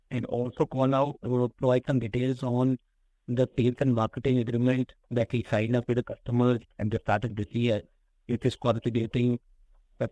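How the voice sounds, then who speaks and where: A man questions management on a conference call. His delivery is formal, with a flat melody and a mid-range pitch. The recording is dry. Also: no echo, low-quality recording. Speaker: analyst asking questions on an earnings call. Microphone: conference call line.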